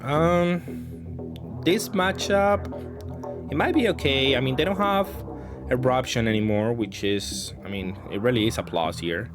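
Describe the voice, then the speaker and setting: A man's voice: medium pitch, measured pace, music in the background. A man giving casual, off-the-cuff commentary on his own card game matches, thinking aloud and faulting his own plays.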